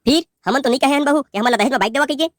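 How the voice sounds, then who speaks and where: A woman voicing a patient old father-in-law who reasons gently in a Chhattisgarhi accent in a cartoon. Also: high-pitched, racing, natural voice. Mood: reasoning.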